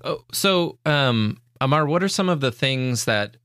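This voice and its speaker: A man's voice: medium pitch, expressive, measured pace, fairly bright tone, clean tone, dry recording, clean studio sound. A man from the United States, hosting a podcast and asking questions in a loose, friendly way with frequent laughs. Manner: friendly, laughs often, rambling. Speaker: podcast host. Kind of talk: casual interview.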